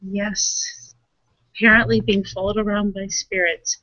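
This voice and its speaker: A woman's voice: mid-range voice, little pitch movement, a little slow, pushed and bright, no echo. A woman playing a tabletop roleplaying game, improvising her character's actions in loose, informal, self-correcting chatter. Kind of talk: casual improvised roleplay and narration. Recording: dry, slightly muffled voice-chat audio.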